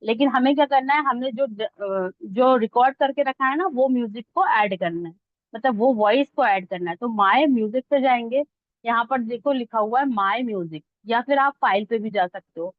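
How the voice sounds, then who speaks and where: Indian lady doing a casual live tutorial, highish voice, checks in with learners, kinda dull lofi sound. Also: moderate pace, no echo. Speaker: online instructor teaching mobile video editing. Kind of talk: live step-by-step tutorial, conversational. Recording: dull, lo-fi, close dry audio.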